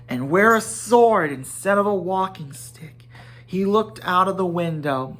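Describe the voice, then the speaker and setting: A man's voice: sits high, a bit bright. A man reading a story aloud as a dramatic narrator, in scripted storybook style. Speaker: amateur audiobook narrator.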